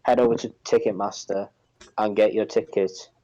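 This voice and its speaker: A man co-hosts a casual podcast in a fairly low register. His tone is very soft-edged and dark, suited to chat and informal sponsor reads. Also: fairly even intonation, moderate pace, lo-fi sound. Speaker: podcast co-host.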